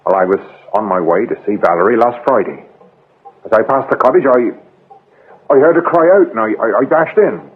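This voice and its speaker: An actor playing a defensive, loyal village man in a radio drama, speaking earnestly and haltingly. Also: on the low side, slightly rough. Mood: shaken. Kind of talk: British radio drama acting. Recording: lo-fi, narrow-band radio drama recording.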